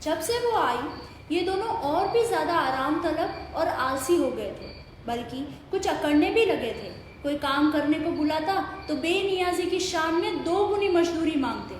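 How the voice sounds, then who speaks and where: A woman's voice: sits high, lively intonation, a little slow. A woman narrator reading a scripted story for an audio play. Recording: very echoey room, faint background noise.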